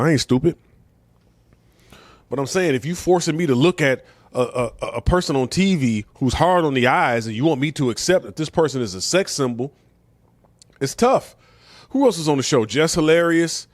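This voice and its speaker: A man's voice: a little high, dry recording. A middle-aged American man talking casually and bluntly as a show host, giving opinions in slangy, conversational speech with rhetorical questions. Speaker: comedian and talk show host.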